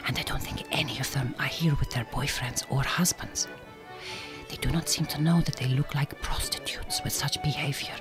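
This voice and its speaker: A woman's voice: rumbling low, fairly even intonation, normal speed, music in the background. An actress playing a proud, reserved middle-aged Hungarian woman in a radio drama, with a Hungarian accent and careful, formal phrasing. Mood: disapproving, observing. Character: glamorous, proud, reserved Hungarian migrant woman, grieving mother.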